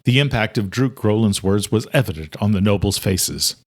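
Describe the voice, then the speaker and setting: A man with a low voice narrates an audiobook. The delivery is scripted and dramatic, in the manner of a thriller story. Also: animated, normal speed.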